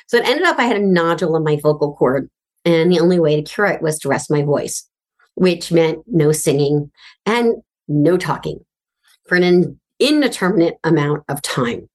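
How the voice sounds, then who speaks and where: Middle-aged female host, low voice, candid and chatty monologue with wry asides. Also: expressive, normal speed. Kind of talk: personal storytelling monologue.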